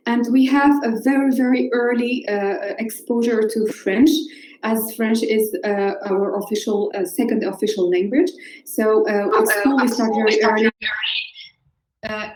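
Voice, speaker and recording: A woman's voice: fairly high pitch, roomy sound, poor audio. A woman from Morocco, whose first language is Moroccan Arabic, moderating a live online panel with frequent hesitant fillers over a video call. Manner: hesitant, many filler uhs.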